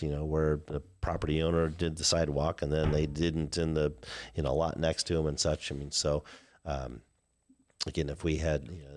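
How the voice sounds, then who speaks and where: A man in his fifties, a Midwestern American mayor answering live-chat questions, pausing often with "uh" and "um" as he thinks. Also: a bass voice, a little mellow. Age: 50s. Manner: halting, many "uh" and "um" fillers. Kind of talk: live call-in Q&A.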